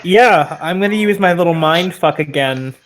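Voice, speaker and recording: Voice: mid-range voice, normal speed. A player chatting casually during an online tabletop roleplaying game.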